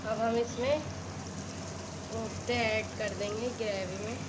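Woman, home cook, plain step-by-step narration, slightly high voice, noisy kitchen recording. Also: fairly even intonation, unhurried. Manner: plain, explains each step as she works. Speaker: home cook presenting a recipe. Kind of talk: step-by-step cooking instructions. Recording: home video with loud background noise.

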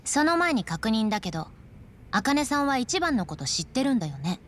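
A woman's voice: on the high side, sing-song, moderate pace. An actress playing a confident young woman in a video game, playful, teasing and self-assured as she talks. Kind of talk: Japanese video game dub acting.

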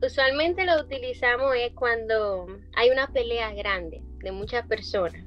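A woman's voice: a little high, dull audio, soft background music. A young woman from the Dominican Republic with a Dominican accent, chatting on a video call from her bedroom and explaining slang. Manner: rapid, laughs often, explains slang. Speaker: guest. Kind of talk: casual video chat. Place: bedroom at home.

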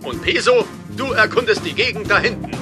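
A man's voice: high voice, lively intonation, a little fast. A man playing a brave, decisive polar bear sea captain in a children's cartoon radio play. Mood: commanding. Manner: commanding, decisive. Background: loud music.

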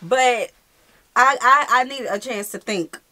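A woman's voice: fairly high pitch, measured pace, bright, forceful tone. A woman in her thirties co-hosting a reaction video, exclaiming and laughing in an indoor room. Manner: exclaiming, often breaking into laughter. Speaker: reaction channel co-host. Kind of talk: casual reaction chat.

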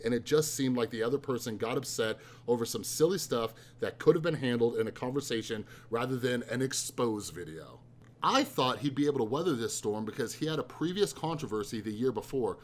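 A man in his thirties reading a chapter of his own book aloud in a steady, subdued tone. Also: mid-range voice, slight background noise. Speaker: author.

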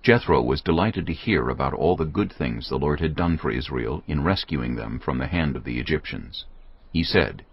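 A man narrating scripture in a measured, expressive reading. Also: extremely low pitch, husky, dull audio.

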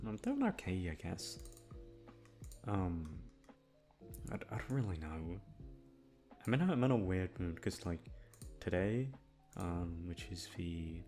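A young man talks casually to his listeners in a low, gravelly voice. He rambles hesitantly and puts himself down, swearing a little, with faint music behind him. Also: a little mellow. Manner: hesitant, self-deprecating, mildly sweary. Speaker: audio roleplay creator.

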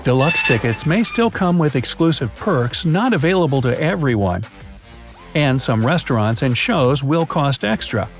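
Male voice-over narrator, scripted explainer, playful asides, mid pitch, soft music behind. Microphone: studio voice-over. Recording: faint background music.

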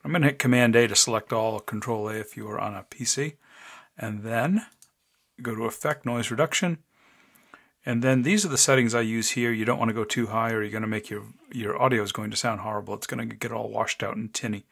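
Male tutorial host, deep voice, casual and methodical screencast narration into a desk mic. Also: a little fast, gravelly. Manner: relaxed, talks through each click step by step. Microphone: desk microphone, voice-over of screen recording.

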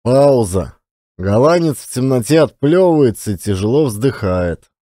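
A man's voice: mid-range voice, sing-song, unhurried, warm tone, no echo, studio quality. A man narrating a dramatised audiobook as an actor, with theatrical storytelling delivery. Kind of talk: dramatised first-person storytelling in colloquial old-time Russian.

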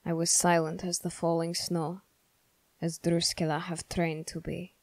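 A woman playing a stern, suspicious young male soldier in an ASMR roleplay, questioning the listener in a measured, formal way. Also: on the low side, little pitch movement, darkish tone, dry recording. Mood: curious, suspicious. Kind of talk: ASMR character roleplay, slow scripted monologue.

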